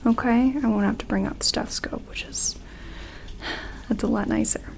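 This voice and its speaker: A woman doing an ASMR medical roleplay as a gentle doctor, soft-spoken and slipping into whispers, with tentative, reassuring phrasing. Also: a little high, steady tone, normal speed, gravelly. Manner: gentle, hesitant, reassuring clinician talk. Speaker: ASMR performer playing a doctor examining an alien.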